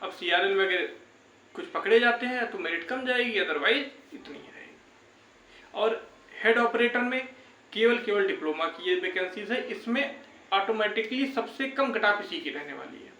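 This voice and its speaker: A man's voice: high voice, lively intonation, normal speed, pushed and bright. A young man giving an informal, reassuring update to viewers, often repeating his phrases. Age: young adult. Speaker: YouTube exam-update presenter. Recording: echoey, roomy sound.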